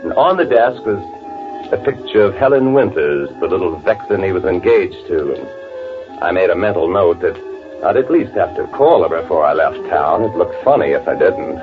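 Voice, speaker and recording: An actor playing a bitter, gloating murderer who poses as his twin, confessing in halting first-person 1940s radio drama narration. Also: sits low, animated. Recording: tinny vintage radio recording with music.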